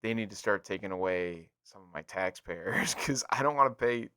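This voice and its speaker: A man's voice: fairly low pitch, lively intonation, moderate pace, pushed and bright, smooth, no room sound, studio quality. An American man co-hosting a casual gaming podcast, chatting informally and jokily and talking straight to listeners. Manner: jokey, informal, addresses listeners directly. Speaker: podcast co-host.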